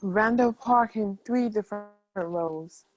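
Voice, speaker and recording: A woman's voice: medium pitch, a little slow, dry recording, lo-fi sound, soft background music, natural voice. A woman playing an upbeat, pushy film producer in a table read. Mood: eager.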